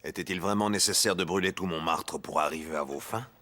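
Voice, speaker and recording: Very deep, sardonic henchman. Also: brisk, bright, forceful tone. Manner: cutting, sardonic. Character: sinister, sardonic henchman, partner of a villain. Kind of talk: French animated series acting.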